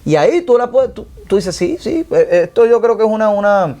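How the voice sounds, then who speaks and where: A man co-hosting a casual panel podcast, talking in an animated way with many fillers and restarts. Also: high voice, brisk. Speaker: podcast co-host.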